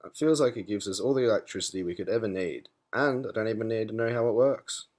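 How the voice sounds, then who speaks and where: Low-voiced, clueless spaceship captain played by a man. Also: quick pace, a little mellow, lightly acted. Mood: startled. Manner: naive, questioning. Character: clueless, anxious male spaceship captain, curious learner. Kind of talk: scripted animated educational dialogue.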